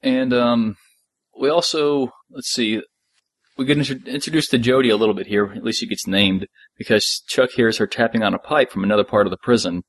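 A man's voice: mid-range voice, fairly even intonation, brisk, no room sound. A man chatting casually as a podcast co-host, discussing an audio drama.

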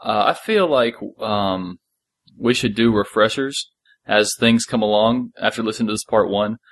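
A man with a fairly low-pitched voice co-hosts a podcast. He talks casually and informally, wandering through his thoughts in a jokey, rambling way. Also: moderate pace. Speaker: podcast co-host. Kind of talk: casual chat about an audio drama.

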